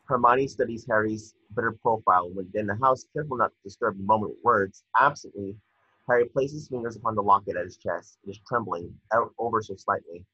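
A man reads stage directions in a measured, slightly stumbling way. His voice is low, raspy and forceful, captured dry through dull, lo-fi video call audio. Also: no room sound. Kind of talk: scripted narration at a table read.

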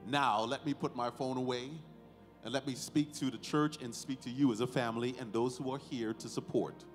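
A man preaching as a pastor at a ceremony, speaking in deliberate short phrases with emphatic stresses and rhetorical questions. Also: mid-range voice, steady tone. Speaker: pastor leading a baby dedication. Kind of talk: sermon, ceremonial address to family and congregation. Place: large church sanctuary, indoors. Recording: hall reverb, faint music behind.

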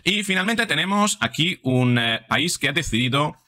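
A man's voice: a little high, animated, normal speed, bright, forceful tone. A man in his forties, a psychologist giving argumentative opinion commentary in a home studio, speaking into a studio mic in front of him, often tagging "no?" onto his points. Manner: argumentative, frequent rhetorical "¿no?" tags. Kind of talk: opinion commentary over social posts. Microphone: studio mic on stand in front.

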